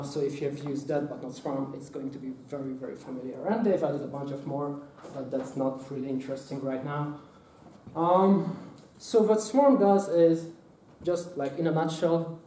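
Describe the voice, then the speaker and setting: A man's voice: fairly high pitch, warm tone, a little hiss or hum. Man presenting in an echoey room. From Hungary. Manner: halting, many fillers and restarts. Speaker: workshop presenter. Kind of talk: conference talk. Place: conference room. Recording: echoey room, mic at a distance.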